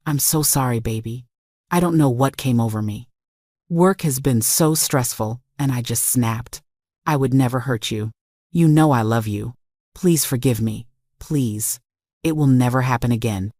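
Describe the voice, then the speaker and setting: A woman voicing a remorseful husband begging forgiveness in a dramatic story, soft, apologetic and pleading. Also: extremely low pitch, moderate pace, no room sound, clean studio sound. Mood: tearful pleading. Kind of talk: dramatic story narration dialogue.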